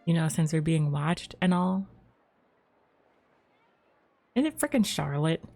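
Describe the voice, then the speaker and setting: A woman's voice: low-pitched, lively intonation, moderate pace, creaky, warm tone, soft background music. A woman streaming a game with casual commentary, tossing in offhand, dry asides.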